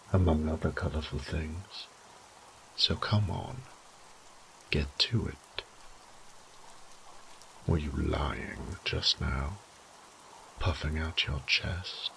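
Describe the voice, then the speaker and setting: A man playing a sadistic, condescending vampire lord in a roleplay audio drama, theatrical and taunting, with breathy whispered phrases. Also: extremely low pitch, fairly bright tone, slight background noise, heavily acted, rough recording. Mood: taunting, contemptuous.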